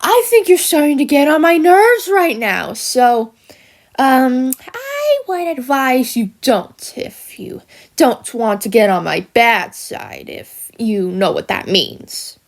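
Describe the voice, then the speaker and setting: A smug, jealous cartoon critter villainess, speaks in a high voice that swings widely up and down. She takes her time, drawing words out slowly with theatrical, mock-sweet menace. Her manner is taunting and sinister, as in a fan-made animated monologue. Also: exaggerated voice. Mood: menacing, taunting.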